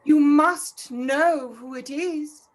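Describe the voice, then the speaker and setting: A woman performs a high-pitched female spirit for a radio play. The ghost speaks very slowly and formally, solemn and commanding, weighing each phrase. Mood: stern, probing. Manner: slow, deliberate, formal delivery.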